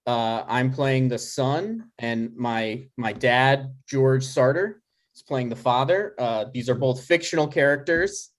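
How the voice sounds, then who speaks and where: A man in his thirties hosts a casual live stream. He speaks hesitantly in a mid-pitched voice, stopping and starting as he introduces things. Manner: halting, hesitant. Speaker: host and scriptwriter.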